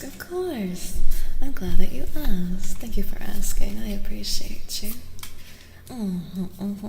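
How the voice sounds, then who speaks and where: A woman streaming soft-spoken ASMR tarot readings, chatting in an unhurried, rambling way and often slipping into whispers. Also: medium pitch. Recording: roomy reverb with clear background noise.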